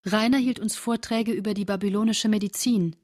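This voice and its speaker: An actress playing a reflective woman reading from her wartime diary in a measured, intimate literary radio drama delivery, with a slightly husky voice. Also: mid-range voice, fairly even intonation, a little mellow, natural voice.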